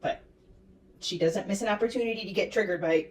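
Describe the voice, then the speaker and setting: A woman's voice: mid-range voice, fairly quick, far from the mic, slight background noise. A woman giving critical reaction commentary in a sarcastic, disapproving manner. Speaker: commentator reacting to clips of a streamer.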